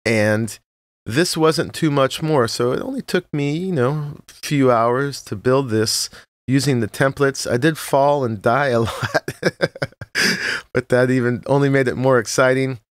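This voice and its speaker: A man with a slightly froggy morning voice, narrating a relaxed, rambling tour of his game world with many "uh"s and short laughs. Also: medium pitch, measured pace, no echo. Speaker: Minecraft let's-play host. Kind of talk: casual gameplay tour narration.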